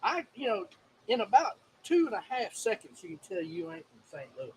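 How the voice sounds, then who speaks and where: High-voiced co-host, easygoing. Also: male voice, big pitch swings, brisk, slight background noise, rough recording. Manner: easygoing, chimes in with questions. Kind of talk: casual chat.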